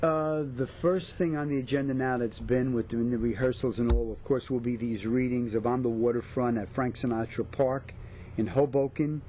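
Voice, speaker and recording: Mellow New man, casual. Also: mid-range voice, steady tone, normal speed, slight background noise. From New York/New Jersey area. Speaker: actor, interview guest. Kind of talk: casual interview chat.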